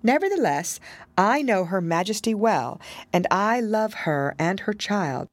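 A woman voicing a little old fairy godmother in a storybook, gentle, solemn and knowing, and calmly unshakable. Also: mid-range voice, measured pace, dry recording. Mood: mysterious. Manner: calm, knowing. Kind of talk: storybook character voice.

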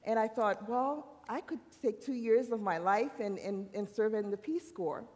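A woman from Haiti giving a personal faith testimony at a university worship service, earnest and halting, often restarting her sentences. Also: fairly high pitch, darkish tone. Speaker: worship speaker. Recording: long hall reverb.

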